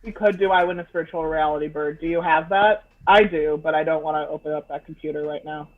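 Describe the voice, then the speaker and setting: A man's voice: a little high, fairly even intonation, fast, narrow, tinny audio. A man in his twenties, a native Scots speaker, chatting casually and jokily as co-host of a group livestream on a video call.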